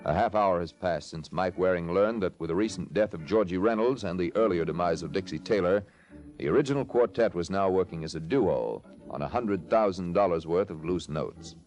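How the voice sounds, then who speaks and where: A man's voice: deep voice, brisk, a little mellow, faint music behind. A man with an American accent reading scripted sponsor copy and narration as an old-time radio announcer.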